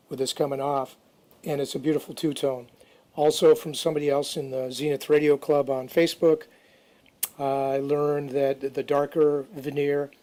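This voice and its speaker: A man's voice: medium pitch, darkish tone. A man giving casual how-to narration for a DIY project, informal and unscripted, as if thinking aloud. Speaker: DIY restoration video narrator.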